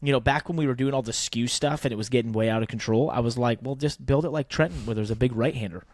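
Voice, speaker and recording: A man talks like a podcast co-host in loose, colloquial banter, animated and quick to joke. His pitch sits in the middle, and the sound is dry with little room in it. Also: no room sound. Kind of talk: casual motorsports banter.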